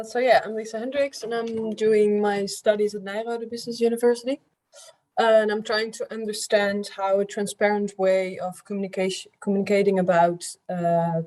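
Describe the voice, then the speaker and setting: A woman studying business introduces her research on a video call. She talks at a mid pitch in an easygoing, friendly manner. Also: dark tone, poor audio. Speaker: business student.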